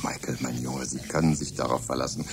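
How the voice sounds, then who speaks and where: Gravelly, deep-voiced old gentleman scientist. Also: flat delivery, brisk, noisy background. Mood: reassuring. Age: older. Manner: chuckling, avuncular, self-assured. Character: genial, blunt old British scientist, a fatherly knight. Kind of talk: German radio drama acting.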